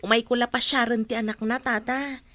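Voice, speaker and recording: An actress playing a sympathetic, helpful neighbour in a radio drama, with an Ilocano Filipino accent. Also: female voice, a little high, fairly even intonation, a little fast, a bit bright, no room sound, close to an everyday voice. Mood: concerned.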